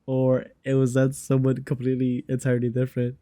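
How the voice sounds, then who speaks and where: A man comments casually on a game he is playing. His voice is dark and relaxed, recorded close and dry, with informal, slangy phrasing. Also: mid-range voice, fairly quick, dry recording. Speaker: gamer commenting on playthrough.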